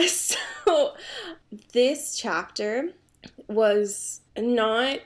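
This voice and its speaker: A woman's voice: on the high side, expressive, drawn-out words. A woman co-hosting a podcast in casual, comedic chat, self-mocking and slangy, swearing freely. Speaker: podcast co-host.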